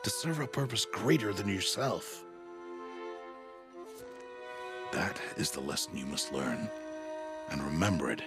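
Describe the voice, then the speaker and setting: Grave, very deep-voiced older mentor. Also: male voice, very animated, music in the background. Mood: solemn, earnest. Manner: grave, measured, formal phrasing. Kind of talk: dramatic video game cutscene acting.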